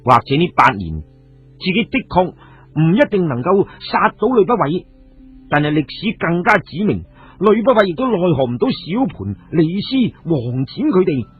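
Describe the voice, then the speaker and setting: Husky, animated Cantonese male storyteller. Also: sits high, moderate pace. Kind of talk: dramatised radio story narration. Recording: muffled phone-like radio audio, music behind.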